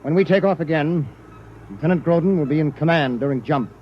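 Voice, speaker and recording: Firm, higher-pitched starship captain played by a man. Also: brisk, natural voice. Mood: firm, measured. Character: male starship captain, firm, principled, commanding. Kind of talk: 1950s radio drama acting. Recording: muffled old radio broadcast, faint noise.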